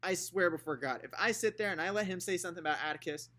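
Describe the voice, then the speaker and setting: A man's voice: sits high, fast talker, bright, forceful tone, voice pushed up. A man voicing a defiant young girl who argues her side, raised and indignant.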